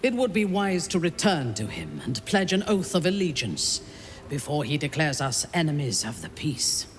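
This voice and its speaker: An actor playing an earnest, dutiful noble hero in a video game, speaking in formal declarations. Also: male voice, a little high, very animated, normal speed, a little hiss or hum. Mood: earnest, persuasive. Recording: music behind the voice. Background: game music.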